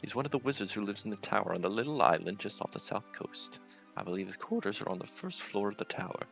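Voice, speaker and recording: A man's voice: on the low side, lively intonation, quick pace, vocal fry, fairly bright tone, dull audio, soft background music. A man voicing an aging, bumbling, sceptical knight with a blustering, hesitant manner. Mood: sheepish.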